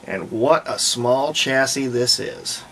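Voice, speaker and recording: A man's voice: mid-range voice, takes it slowly. A man giving casual, unscripted workbench commentary, thinking aloud as he works through a hobby restoration. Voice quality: gravelly, creaky. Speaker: hobbyist restorer presenting a repair video. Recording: clear background noise.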